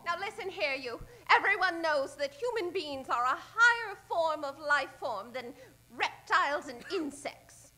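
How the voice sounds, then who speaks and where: A woman's voice: high-pitched, lively intonation, a little slow, sharp tone, heavily acted. A woman playing a plucky, indignant kidnapped damsel, scolding melodramatically in an old-time radio western.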